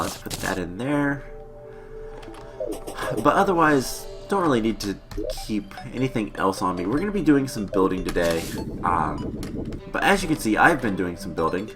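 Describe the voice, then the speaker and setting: A man hosting a casual let's-play, rambling with many fillers and sounding slightly stuffy from a cold. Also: on the low side. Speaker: gaming channel host. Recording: voice with game music behind. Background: game music.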